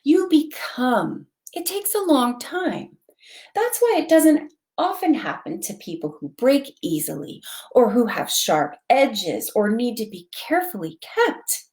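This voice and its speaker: A woman voicing a wise, gentle old male toy horse in a storybook, explaining things patiently and truthfully. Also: fairly high pitch, exaggerated voice. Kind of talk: storybook character reading.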